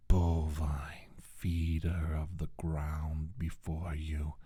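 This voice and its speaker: Man as a slow, husky, menacing alien scientist. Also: extremely low pitch, warm tone, dry recording, exaggerated voice. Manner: slow, taunting, condescending, half-whispered. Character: menacing, scornful alien reptilian scientist bent on conquest. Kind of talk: soft-spoken ASMR roleplay monologue.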